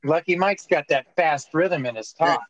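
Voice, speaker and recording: A man's voice: a little high, moderate pace, dry recording, muffled recording. A man hosting a casual podcast chat, teasing and telling jokey stories. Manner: joking, teasing. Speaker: podcast host.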